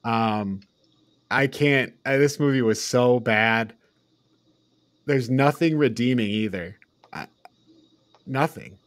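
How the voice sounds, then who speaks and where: A man's voice: medium pitch, slow, pauses often, no echo. A man hosting a podcast, chatting casually and giving blunt opinions in a review discussion. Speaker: podcast host leading the discussion.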